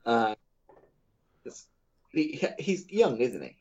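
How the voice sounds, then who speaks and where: A man's voice: medium pitch, very animated, takes it slowly, darkish tone, dull audio. A man co-hosting a podcast in casual banter, laid-back and chatty, with filler words and some swearing. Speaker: podcast co-host.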